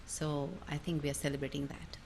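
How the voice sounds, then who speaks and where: A middle-aged woman singer from India talking on a video call, thoughtful and pausing with many ums. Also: deep voice, little pitch movement, a little mellow, slight background noise.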